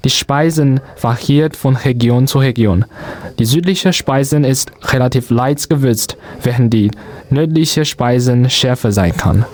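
Young Chinese-accented man, halting delivery. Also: medium pitch, darkish tone, no room sound. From Guangzhou, southern China. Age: young adult. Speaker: radio show guest. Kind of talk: interview answers about everyday culture.

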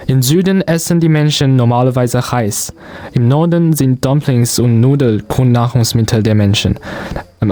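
A young man from southern China with a Chinese accent, answering interview questions as a radio guest in halting, non-native phrasing. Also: medium pitch, dark tone. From Guangzhou, southern China. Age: young adult. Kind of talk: interview answers about everyday culture.

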